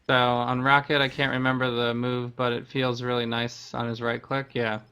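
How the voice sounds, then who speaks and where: A man's voice: mid-range voice, monotone, moderate pace, bright, forceful tone, smooth. A man giving casual gameplay commentary as a streamer, explaining things in a conversational way. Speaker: game streamer.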